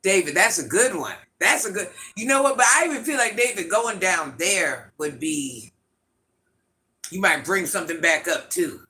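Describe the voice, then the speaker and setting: A man with an itchy, scratchy throat, hosting a livestream in a grandmother persona and chatting through viewer comments with quick laughs and asides. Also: high voice, expressive, bright, forceful tone. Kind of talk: casual live chat answering viewer comments.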